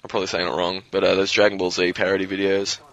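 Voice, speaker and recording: Young Australian man, low voice with a forceful bright edge, slightly hoarse, loose rambling gamer talk. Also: little pitch movement, rapid-fire, dull audio. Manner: rambling, loose, swears freely. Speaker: guest commentator. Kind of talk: casual gaming commentary.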